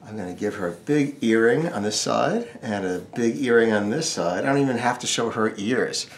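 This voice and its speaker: A man's voice: mid-range voice, lively intonation, normal speed, slight background noise. A man teaching a casual step-by-step drawing tutorial, with wry, joking asides. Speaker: drawing instructor.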